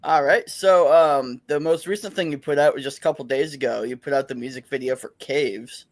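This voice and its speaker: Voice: medium pitch, brisk, no room sound. A podcast host asking brief questions in a casual interview. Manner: brief questions, short acknowledgments.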